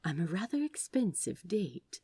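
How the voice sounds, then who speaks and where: A woman's voice: on the low side, very animated, a little slow, dark tone, slightly rough, dry recording, studio quality, full character voice. A woman voicing a flustered, prim and polite male receptionist who stammers and apologises in an audiobook-style story. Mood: coy murmur.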